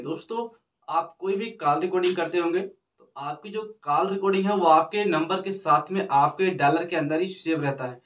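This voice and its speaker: A young man hosting a phone tutorial, walking viewers step by step through the settings and repeating each step. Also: a little high. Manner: steady explanatory, repeats steps, addresses viewers as friends. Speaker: tech tutorial host. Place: small indoor room with blue cloth backdrop. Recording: distant, dull lo-fi sound.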